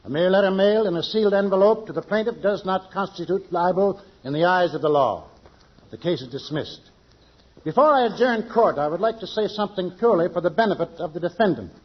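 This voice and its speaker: A dignified, smooth-voiced radio judge. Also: male voice, high-pitched, lively intonation. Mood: stern, solemn. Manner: formal, measured, pompous diction. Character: dignified, formal male judge, unflappable and stern. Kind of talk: old-time radio comedy acting. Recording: dull vintage radio recording.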